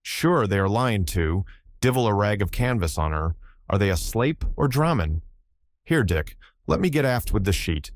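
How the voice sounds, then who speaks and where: A man's voice: fairly low pitch, very animated, moderate pace, dry recording, studio quality. A man voicing a garrulous old Irish sailor spinning folksy, colloquial yarns in an audiobook story. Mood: excited shouting. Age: older. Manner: rambling, colloquial yarn-spinning.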